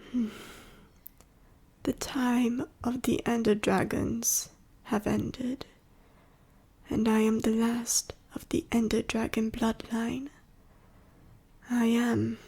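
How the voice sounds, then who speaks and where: A woman plays a dying she-dragon, the last of her bloodline, in an ASMR roleplay. Her voice is fairly high but husky and whispery, with a creaky edge. She speaks formally and gently, weak and short of breath, full of sorrow. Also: heavily acted. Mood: resigned, sorrowful. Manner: slow, formal, archaic phrasing with heavy pauses.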